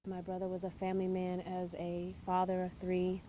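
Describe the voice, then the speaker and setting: A woman's voice: on the low side, completely flat delivery, moderate pace, warm tone. An American woman speaking in a news interview with slight noise behind her. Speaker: bereaved sister, actress.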